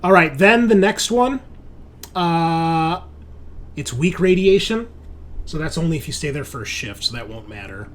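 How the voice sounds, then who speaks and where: A man's voice: on the high side, lively intonation, measured pace, a bit bright. A man running a tabletop roleplaying game as game master, talking in a casual, conversational way.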